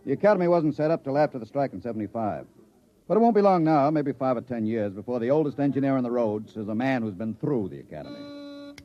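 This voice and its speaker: Man acting as confident veteran engineer boss, rapid delivery, authoritative, old radio drama, dull vintage recording. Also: medium pitch, very animated, close to an everyday voice. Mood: earnest, assured. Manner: brisk, businesslike, authoritative. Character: brisk, confident veteran chief engineer of moving roadways.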